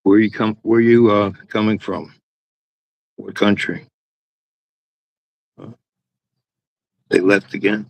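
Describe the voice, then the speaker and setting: A man with a deep, raspy voice hosting a live chat room, chatting casually to himself while he waits for people on a small external mic. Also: fairly even intonation, slow, pauses often, no room sound, highs cut off. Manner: rambling, talks to himself while waiting.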